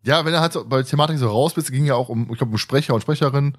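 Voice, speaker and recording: A man's voice: mid-range voice, rapid-fire. A man chatting casually as a podcast host.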